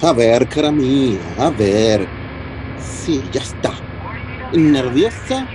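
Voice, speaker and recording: Un actor de radionovela que interpreta a un inmigrante italiano cariñoso y tranquilizador, con acento italiano y palabras en italiano mezcladas. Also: male voice, medium pitch, animated, slow, background noise. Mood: tender. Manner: mixes Italian words into Spanish. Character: doting Italian immigrant man, reassuring lover. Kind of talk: radio soap opera acting.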